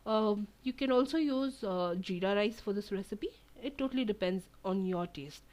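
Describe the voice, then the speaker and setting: A woman's voice: mid-range voice, normal speed. Chatty woman explaining a recipe. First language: Tamil. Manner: relaxed, chatty, many fillers. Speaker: cooking channel host. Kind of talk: home cooking tutorial voice-over. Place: home kitchen.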